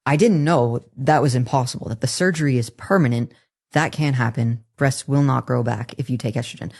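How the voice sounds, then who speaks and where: Woman with a deep, masculine-leaning voice. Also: darkish tone. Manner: earnest and candid, with halting restarts. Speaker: interview guest. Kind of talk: personal testimony in a podcast interview.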